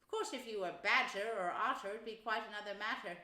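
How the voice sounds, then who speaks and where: A woman's voice: mid-range voice, fast, bright, forceful tone, roomy sound, lightly acted. A woman voicing a brave, good-natured male water rat in a storybook, with a cheery, emphatic and reassuring manner. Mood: soothing, advising.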